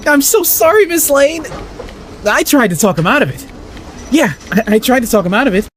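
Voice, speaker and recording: A man playing an animated, boyish young photographer. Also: very high-pitched, normal speed, background noise. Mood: flustered, apologetic. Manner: animated, apologetic, earnest. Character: eager, boyish, earnest young male news photographer. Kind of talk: audio drama acting.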